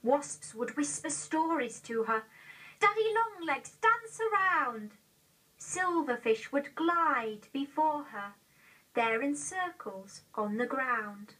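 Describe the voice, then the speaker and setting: An eighteen-year-old woman with an English accent recites a poem in a measured, carefully enunciated way on an old video recording. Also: high-pitched, lively intonation, pushed and bright, distant mic, poor audio. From England. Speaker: poetry reader. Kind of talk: poetry recitation. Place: indoor room, seated.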